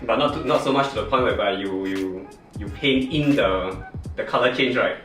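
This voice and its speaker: A man's voice: fairly low pitch, a little fast, a bit bright. A man, asking questions as the host of a casual interview. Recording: roomy echo, distant mic, faint music.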